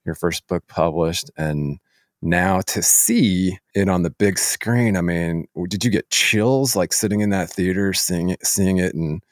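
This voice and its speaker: A low male voice in a very dry recording. He interviews as a podcast host, speaking casually and conversationally, with an admiring, affirming way toward his guest. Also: a little slow, no room sound.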